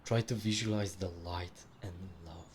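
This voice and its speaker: Male meditation guide, low register, soothing and measured with long pauses, birdsong ambience behind. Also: fairly even intonation, slight background noise. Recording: clearly audible background ambience with birdsong. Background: birdsong and nature ambience.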